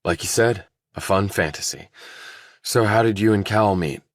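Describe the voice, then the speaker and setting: A man narrating a thriller audiobook, reading scripted prose and character dialogue in a deep voice. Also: normal speed, no room sound, clean studio sound.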